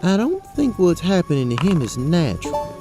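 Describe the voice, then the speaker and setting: An actor playing a dainty, polite Southern belle adventurer with a Southern US accent in a fantasy audio drama. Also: female voice, lowish voice, very animated, normal speed, over music. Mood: curious, composed. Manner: genteel, courteous.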